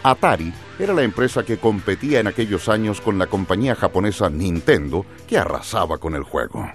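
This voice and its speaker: A man's voice: sits low, very animated, brisk, music in the background. A man reading scripted educational narration as the narrator of a radio programme.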